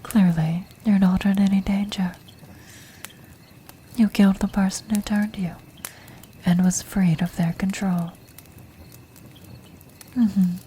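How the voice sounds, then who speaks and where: An ancient, scheming vampire countess, played by a woman speaks in a slow, mellow half-whisper. Her phrasing is formal, poised and regal, in the style of fantasy ASMR roleplay, with steady noise behind her. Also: medium pitch, natural voice. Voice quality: soft, breathy, whispery.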